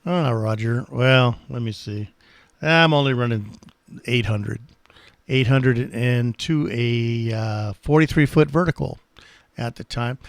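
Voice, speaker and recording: A man hosting casual amateur radio contacts, talking in a friendly, halting way. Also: mid-range voice, vocal fry, a little hiss or hum. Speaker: amateur radio operator and channel host.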